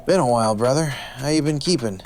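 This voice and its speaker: An actor playing a seedy, washed-up ex-wrestler who drinks and smokes, in noir audio drama dialogue. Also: male voice, medium pitch, a little fast, noisy background, natural voice. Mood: uneasy, weary.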